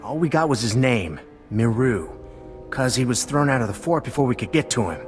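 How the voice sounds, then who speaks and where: An actor playing an eager, friendly lieutenant in a video game, chatty and earnest. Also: male voice, medium pitch, lively intonation, normal speed, faint music behind, close to an everyday voice. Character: eager, friendly male lieutenant briefing a soldier. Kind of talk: video game cutscene acting.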